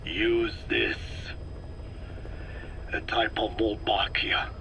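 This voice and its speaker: An actor playing a grave, learned old parasite expert in a video game, with a breathy rasp and formal, measured phrasing. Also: male voice, lowish voice, level tone, bright, forceful tone, slight background noise, exaggerated voice, poor audio. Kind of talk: dramatic video game dialogue.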